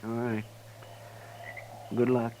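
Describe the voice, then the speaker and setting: A man's voice: medium pitch, completely flat delivery, slow, dark tone, lightly acted, rough recording. An actor playing a loyal, eager male deputy sidekick in a 1950s radio drama, with a plaintive, drawling way of talking. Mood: anxious. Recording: old radio broadcast with faint hiss.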